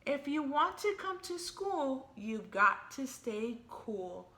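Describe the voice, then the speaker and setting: A woman's voice: high-pitched, sing-song, takes it slowly, bright, forceful tone, full character voice. A woman voicing a kind, earnest young boy shark in a storybook, with a sing-song, rhyming delivery. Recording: roomy, echoey sound.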